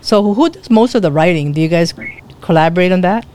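A man hosting a radio interview show, asking questions in a casual, halting way and often repeating himself. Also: sits high, expressive, a little mellow, a little hiss or hum.

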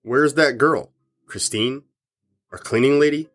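An actor playing a suspicious, confrontational rock band member in an audio drama, speaking in an insistent, accusing way. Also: male voice, mid-range voice, measured pace, natural voice.

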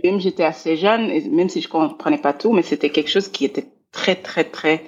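Belgian woman, 40s, interview guest; husky, gravelly voice, thoughtful and searching, slightly muffled audio. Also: low-pitched, normal speed. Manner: thoughtful, repeats words while searching for phrasing. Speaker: guest, scholar of international relations. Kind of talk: reflective interview talk.

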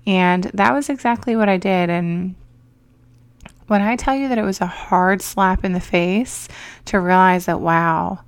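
Upbeat woman podcast host, slightly raspy. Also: lowish voice, measured pace, no room sound. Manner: upbeat, encouraging, chatty. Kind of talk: motivational podcast talk.

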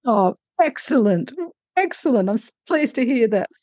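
A woman's voice: fairly high pitch, lively intonation, normal speed, darkish tone, no room sound. A woman answering interview questions as an author, conversational, with thoughtful and hesitant phrasing. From Australia.

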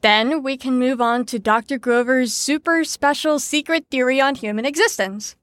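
Audio drama role: sarcastic woman running a psychology lesson played by an actress, higher voice, dry studio sound, falsely upbeat. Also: moderate pace, a bit bright, no room sound. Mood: explaining. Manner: sardonic, mock-cheerful.

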